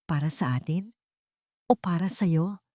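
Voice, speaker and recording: A woman's voice: lowish voice, lively intonation, a little slow, mellow, no room sound, studio quality. A woman voicing a frightened, remorseful young woman in a dramatic audiobook, pleading and angry. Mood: bitter anger. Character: frightened, remorseful young woman, defiant toward her abusive lover.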